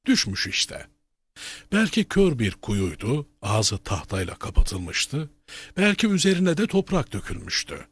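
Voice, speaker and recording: A man voicing a kindly old grandfather in a children's cartoon, telling tales in a husky, folksy, theatrical way. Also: on the low side, exaggerated voice.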